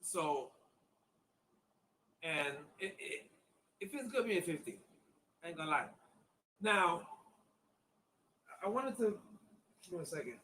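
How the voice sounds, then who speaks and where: A man's voice: fairly high pitch, sing-song, takes it slowly, pauses often, sharp tone, low-quality recording. Give me a fifty-year-old man teaching acupuncture online in a loose, repetitive chatty way, with a bit of noise in the background. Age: 50s. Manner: chatty, repeats himself, addresses viewers as y'all. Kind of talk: casual online demonstration.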